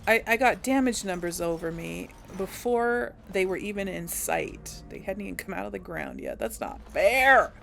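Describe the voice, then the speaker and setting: A woman's voice: mid-range voice, lively intonation, moderate pace, creaky, hardly any pauses, fairly bright tone, faint music behind. A young adult woman giving casual commentary on a game she plays, reacting aloud with laughs and wry remarks. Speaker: let's play host.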